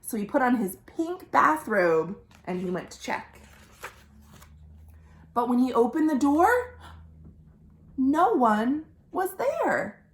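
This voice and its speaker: A woman reading a picture book aloud for young children as a storytime host, animated, with questions to listeners and dramatic pauses. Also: high voice. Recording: roomy, echoing indoor sound.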